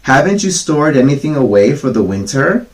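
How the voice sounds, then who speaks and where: A man's voice: mid-range voice, big pitch swings, moderate pace, voice pushed up, lightly acted. A man voicing indignant, scornful, hardworking ants who scold in a children's storybook fable. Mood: incredulous. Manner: scolding, incredulous.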